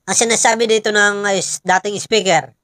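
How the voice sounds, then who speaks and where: A Filipino woman giving informal political commentary, pausing often and emphatically putting rhetorical questions to listeners. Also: medium pitch, steady tone, a bit bright, no echo, studio quality.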